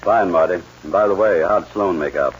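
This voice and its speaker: An actor playing an authoritative, no-nonsense ranch boss in 1940s radio western style. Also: male voice, low-pitched, moderate pace, breathy edge. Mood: businesslike. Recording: dull old radio recording.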